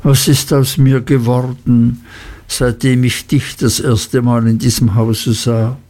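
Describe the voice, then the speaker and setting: A man's voice: mid-range voice, flat delivery, takes it slowly, dark tone, lightly acted. A man voicing a despairing, guilt-ridden older male lawyer who talks in an anguished, self-reproaching way.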